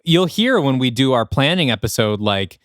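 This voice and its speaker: A man's voice: mid-range voice, very animated, moderate pace, fairly bright tone, smooth, no echo, clean studio sound. A man co-hosting a podcast, chatting casually about writing and rambling as he thinks aloud. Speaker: podcast co-host and writer. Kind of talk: casual behind-the-scenes podcast chat.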